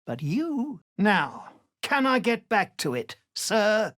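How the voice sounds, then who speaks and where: A woman's voice: mid-range voice, very animated, takes it slowly, fairly bright tone, dry recording, clean studio sound. A woman playing a blunt, desperate and defiant gnome slave in a video game, urgent and pleading. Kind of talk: video game character acting.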